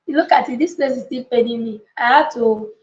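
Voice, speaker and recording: A woman's voice: fairly high pitch, brisk, a little mellow. A woman coach leading an informal motivational live lesson, speaking emphatically, repeating key phrases and checking in with her listeners. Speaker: coach and book club leader hosting a live session. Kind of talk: motivational live teaching with informal chat to viewers. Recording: echoey room, slightly dull lo-fi audio.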